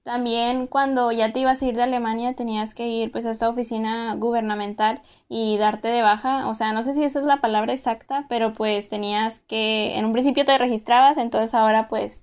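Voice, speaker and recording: A woman's voice: fairly high pitch, clean tone. A young woman in her twenties with a Monterrey Mexican accent, casually recounting her time as an exchange student, talking conversationally with lots of fillers. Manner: conversational, frequent "pues" and "o sea" fillers. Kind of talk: casual first-person account.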